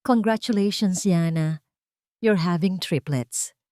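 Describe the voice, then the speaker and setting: A woman voicing a kindly old female doctor in a radio drama, gentle, warm and reassuring as if smiling. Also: lowish voice, slow, no room sound, studio quality. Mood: delighted.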